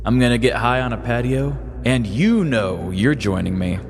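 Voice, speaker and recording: A man voicing a gruff fifty-something stoner factory worker with a laid-back drawl. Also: medium pitch, lively intonation, moderate pace, music in the background, natural voice. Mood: easygoing.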